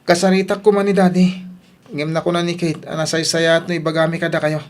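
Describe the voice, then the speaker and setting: Man acting earnest elder brother, even-toned. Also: on the high side, fast talker, natural voice. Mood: grave, reproachful. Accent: Ilocano. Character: earnest, hurt elder brother confronting family troubles. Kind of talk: Ilocano radio-style drama acting.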